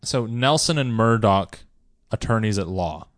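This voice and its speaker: A man's voice: lowish voice, expressive, unhurried, dry recording, clean studio sound. A man casually quizzing a friend on comic character names, playful and laughing along. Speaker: quiz host. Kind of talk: casual chat.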